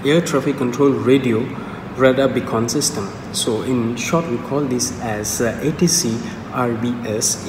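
A young adult man giving an informal, step-by-step lecture in a halting, repetitive way. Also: medium pitch. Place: indoor room, echoing. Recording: echoey room, distant mic, clearly noisy.

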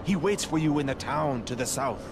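A man's voice: medium pitch, expressive, moderate pace, close to an everyday voice. An actor playing a hooded male saboteur and follower of chaos in a video game, speaking in formal phrases. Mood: elated. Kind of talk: scripted video game dialogue. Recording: noisy game ambience behind voice.

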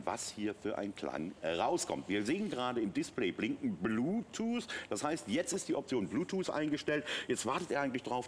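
A man's voice: mid-range voice, a little hiss or hum. A middle-aged man presenting a product on a shopping channel in a chatty, enthusiastic, unscripted way with emphatic repetition. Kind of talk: unscripted live product demonstration. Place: TV shopping studio.

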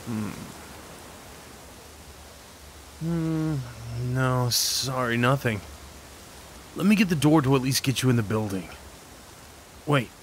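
A man acting a kind, slightly awkward neighbor in an audio roleplay, talking in a gently concerned, hesitant, conversational way. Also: mid-range voice, lively intonation, unhurried, long pauses, slight background noise, lightly acted. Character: kind, awkward, caring male neighbor comforting someone. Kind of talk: ASMR roleplay, soft one-sided dialogue acting.

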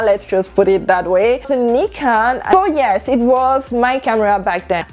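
Young French-speaking woman vlogging. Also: fairly high pitch. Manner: animated, gesturing with hands while standing. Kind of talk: casual talk to camera. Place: indoor room with clothing rack. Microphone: lapel mic.

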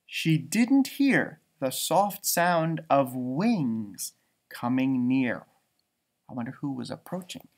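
A man in his thirties reading a picture book aloud to children like a teacher, expressive and dramatic, adding chatty asides. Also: medium pitch, slow pace. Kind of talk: animated storybook read-aloud with asides. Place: indoor library room with bookshelves.

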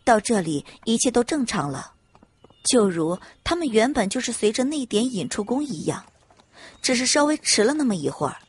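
A woman reading scripted dramatic audiobook narration in an expressive storytelling manner. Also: fairly high pitch, normal speed, husky.

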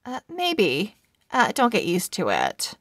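A woman's voice: mid-range voice, huge pitch swings, slow, a bit bright, no room sound, studio quality, full character voice. A woman voicing a proud, sassy female cat from a children's storybook in a haughty, teasing way. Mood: grudging, teasing.

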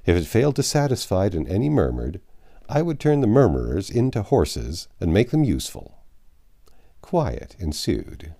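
Older man, audiobook narration, low pitch, very animated intonation, measured delivery with dramatic pauses. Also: creaky. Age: 50s or 60s. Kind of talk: reading a classic novel aloud. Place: small home library, indoors.

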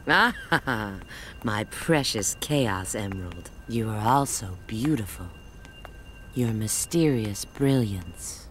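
A woman voicing a confident, sultry female bat spy in a video game, coy and unhurried. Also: very deep voice, expressive, a little hiss or hum, heavily acted. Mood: adoring. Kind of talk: video game cutscene acting. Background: background music.

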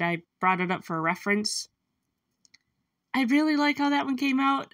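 A woman's voice: medium pitch, dry recording, clean studio sound. A young woman with a congested, stuffy nose, rambling casually as she narrates her sketching for her art channel. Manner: rambling, self-deprecating, soft laughs. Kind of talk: casual voice-over while sketching.

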